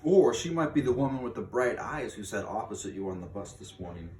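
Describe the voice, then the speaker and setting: Male storyteller for children's read-aloud, lowish voice, big swings in pitch, theatrical emphasis; recorded at a distance from the mic. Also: sing-song, a little mellow. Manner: theatrical, emphatic, drawn-out key words. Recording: distant mic, echoey room, background noise.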